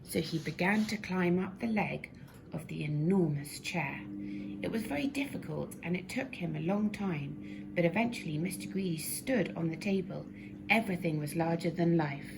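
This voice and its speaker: A woman narrating a children's story expressively, with deliberate, dramatic emphasis. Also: fairly low pitch. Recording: noisy amateur recording, clear background noise.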